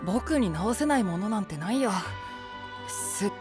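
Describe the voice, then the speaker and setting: A boyish, self-assured young knight in an anime audio drama. He sounds breezy and a little flippant, proud of his skills. Clear music runs behind the voice. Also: high-pitched, expressive, a little slow. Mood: cocky, breezy. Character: cocky, breezy boyish male star knight, proud tinkerer. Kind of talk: Japanese anime-style voice drama. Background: background music.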